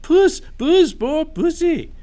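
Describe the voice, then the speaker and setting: High, jeering evil creature. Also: male voice, sing-song, takes it slowly, mellow, exaggerated voice. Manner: taunting, mock-sweet. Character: jeering, mean rabble of evil creatures, mocking. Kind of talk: storybook read-aloud voice.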